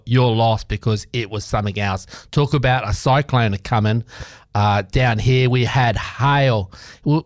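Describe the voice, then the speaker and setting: A man's voice: mid-range voice, no room sound, clean studio sound. A man with an Australian accent presenting a radio show and interviewing in a casual, rambling, jokey way.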